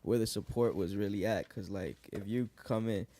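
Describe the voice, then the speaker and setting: Fields: Man, 20s, mellow low voice. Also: moderate pace, dry recording. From New York. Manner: relaxed, lots of likes and restarts. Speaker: guest rapper. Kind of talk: casual interview chat. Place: living room podcast set, indoors. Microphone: boom studio mic.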